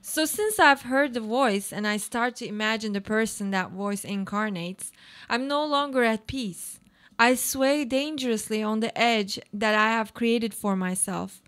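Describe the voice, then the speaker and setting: A cynical, uneasy wife in a radio play, acted by a woman. Her voice sits fairly high, and she delivers brooding monologues in a deliberate, theatrical, poetic manner. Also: fairly bright tone. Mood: uneasy, reflective.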